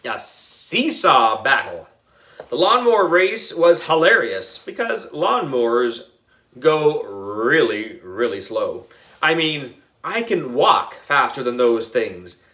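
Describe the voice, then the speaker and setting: Animated, bright-voiced man reading a story. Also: mid-range voice, slow pace. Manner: playful, expressive, exaggerated. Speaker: audiobook reader. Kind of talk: animated children's story narration.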